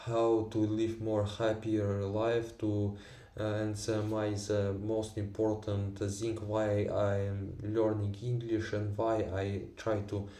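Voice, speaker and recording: A man who speaks Tatar and Russian natively, talking in halting English with many fillers and restarts in a personal vlog. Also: on the low side, monotone, dark tone, roomy sound, recorded from a distance. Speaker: vlogger. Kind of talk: unscripted personal monologue.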